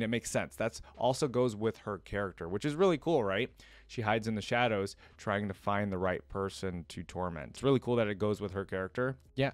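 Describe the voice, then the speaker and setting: A man's voice: fairly low pitch, clear, resonant tone, dry recording. A man in his twenties chatting casually to viewers as a reaction video host, rambling and tentative.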